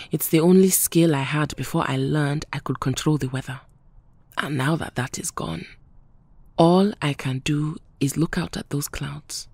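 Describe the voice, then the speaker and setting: A woman's voice: low-pitched, a little mellow, breathy edge, dry recording, exaggerated voice. A woman playing a proud, weary, dignified superheroine with a solemn, formal manner in an audio drama.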